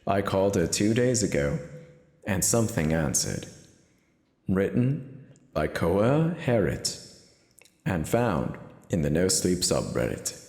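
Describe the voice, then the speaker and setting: A man giving a dramatic reading of a first-person horror story, somber and confessional, with dramatic pauses. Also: low-pitched, sing-song, vocal fry, a little mellow, slightly rough.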